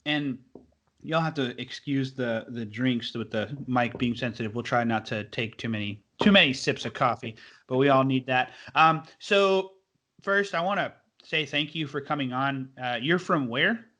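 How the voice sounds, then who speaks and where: Bright-voiced, rambling young podcast host. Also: male voice, mid-range voice, normal speed. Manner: rambling and enthusiastic, heavy use of fillers. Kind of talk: casual podcast chat.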